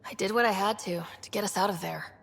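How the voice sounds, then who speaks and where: An actress playing a composed, supportive expedition leader in a video game, conversational and understated with a gravelly edge. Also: female voice, medium pitch, fast, a bit bright, long reverb tail. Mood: steady. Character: composed, supportive young woman expedition leader with dry wit.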